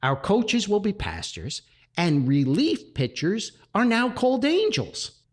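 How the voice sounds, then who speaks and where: A man voicing an excitable, long-winded forty-year-old church committee member in a comic story. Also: sits high, takes it slowly, voice pushed up, exaggerated voice. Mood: zealous, eager. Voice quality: whistling speech through gapped teeth.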